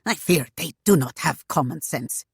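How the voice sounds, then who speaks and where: A woman voicing a shrewd, fussy older gentleman in a storybook, speaking in a formal, old-fashioned way. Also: deep voice, animated, a little slow, no echo, studio quality. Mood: fretful.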